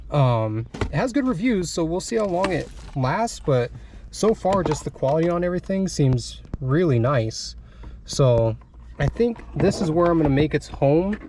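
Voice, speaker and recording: A man's voice: on the high side, darkish tone, slight background noise. A man talking casually while installing a radio in his truck, thinking aloud with plenty of fillers. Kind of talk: casual how-to vlog narration. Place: inside a pickup truck cab.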